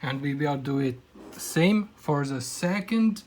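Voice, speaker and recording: Slow-talking man with non-native English. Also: on the high side, animated, darkish tone, a little hiss or hum. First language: Kazakh. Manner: plain non-native English with frequent hesitations. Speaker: hobbyist electronics presenter. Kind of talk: hands-on electronics demo.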